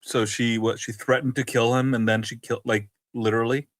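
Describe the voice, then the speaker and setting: A man co-hosting a podcast in casual banter, with a sardonic, deadpan manner. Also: mid-range voice, fairly quick, dry recording. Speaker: podcast co-host.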